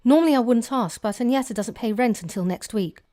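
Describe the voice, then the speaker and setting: A woman's voice: fairly high pitch, fairly quick, mellow, lightly acted. A woman playing a put-upon, exasperated pub landlady in an audio drama, with a weary, grumbling manner. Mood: fed up.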